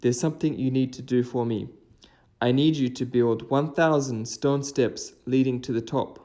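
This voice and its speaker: A man voicing a crafty mountain god in a folktale, with a formal, deliberate, commanding delivery. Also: medium pitch, fairly even intonation, mellow, natural voice. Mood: commanding, stern.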